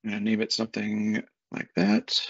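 A man in his thirties walking through a software setup on a screen share, talking casually step by step with fillers, recorded on a desk mic at home. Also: on the low side, big pitch swings, normal speed, vocal fry, slightly rough, dry recording. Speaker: software consultant. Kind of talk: screen-share tutorial walkthrough. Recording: dull lo-fi dry sound.

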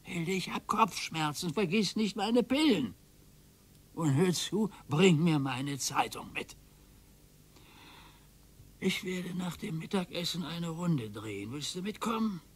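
An actor playing a bored, complaining retired husband in his sixties in a radio play, with a slightly husky, slow, whiny way of talking. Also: fairly high pitch, expressive, full character voice. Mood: fretful. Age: 60s.

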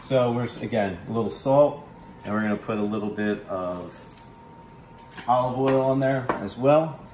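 A man in his thirties giving a relaxed, instructive cooking demo in a home kitchen, with plenty of ums and uhs. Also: mid-range voice, moderate pace, slight background noise. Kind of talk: casual cooking demonstration. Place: large home kitchen, indoors. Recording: echoey kitchen room sound.

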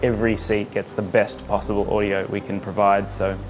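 A man's voice: on the low side, level tone, moderate pace, music in the background. A man in his thirties giving an interview testimonial on a lapel mic. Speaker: audio visual lead. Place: bright indoor stadium room.

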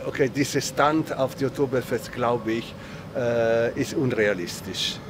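A man's voice: mid-range voice, measured pace. A man in his sixties giving an emphatic, repetitive opinion in a casual street interview. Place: outdoors on a city street. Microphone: handheld interviewer's mic. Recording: clear outdoor city noise.

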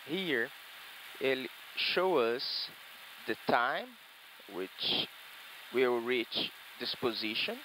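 Bright-voiced pilot explaining slowly. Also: male voice, mid-range voice, slight background noise, rough recording. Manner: slow, explaining cockpit displays step by step. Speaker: airline pilot. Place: airliner cockpit.

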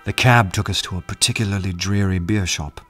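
An actor playing a poet who narrates his own adventure in a radio play, measured and observant. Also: sits low, a bit bright, faint music behind. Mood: atmospheric, measured. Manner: measured storytelling. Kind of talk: scripted first-person narration in a radio play. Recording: clear musical score behind narration.

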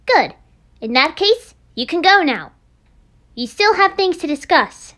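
A woman's voice: extremely high pitch, sing-song, measured pace, fairly bright tone, pitched below the performer's own voice, heavily acted. A woman voicing a brash, hot-tempered teenage girl in an anime game, blunt and scolding. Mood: dismissive. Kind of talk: amateur anime game voice acting.

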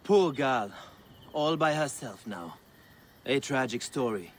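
An actor playing a sorrowful middle-aged embalmer who speaks gently and sympathetically. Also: male voice, mid-range voice, animated, slow, slight background noise.

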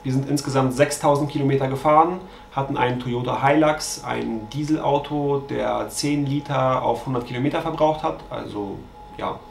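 A man in his thirties, a travel vlogger, calmly going through trip costs to a camera in a bedroom, glancing at his notes. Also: medium pitch, moderate pace, creaky, echoey room, slight background noise. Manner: matter-of-fact, reads figures from notes. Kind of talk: scripted cost breakdown to camera. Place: bedroom with mosquito net, indoors.

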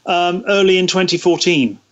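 A man giving thoughtful interview answers, halting as he searches for words. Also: high voice, fairly bright tone, dull audio. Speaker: interview guest, radio series producer.

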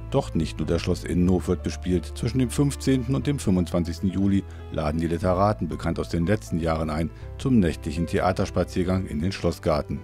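A man narrates a scripted news report in a very low, mellow voice. His tone is dark and husky, with faint music behind him. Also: fairly quick, hardly any pauses.

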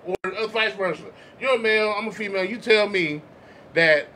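High-pitched, bright-voiced man debating casually. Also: fairly quick. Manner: insistent, repeats himself. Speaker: podcast co-host. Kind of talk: casual group debate with slang and profanity. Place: small makeshift home studio, indoors. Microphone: podcast mics on boom arms. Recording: noticeable background noise.

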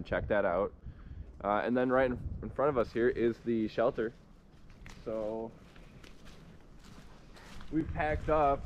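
A man giving a casual narration for an outdoor hiking vlog, recorded on a handheld camera. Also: lowish voice. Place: outdoors in bare woods and inside an open wooden shelter. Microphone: handheld 360 camera. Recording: noisy outdoor camera audio.

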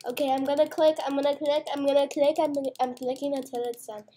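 A woman's voice: high-pitched, fairly even intonation, quick pace, dark tone. A young girl chatting playfully as she plays a restaurant game at home, talking through each move. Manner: playful, chatty, narrating her own moves. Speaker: gamer. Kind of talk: casual gameplay chat. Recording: faint background noise with mouse clicks.

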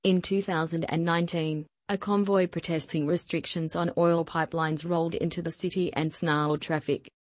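A woman reads the news in a low, steady voice with almost no rise and fall. Her delivery is even and scripted, like a newsreader. The voice-over is dry and close to the mic. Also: normal speed, hardly any pauses, dry recording. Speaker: news reader.

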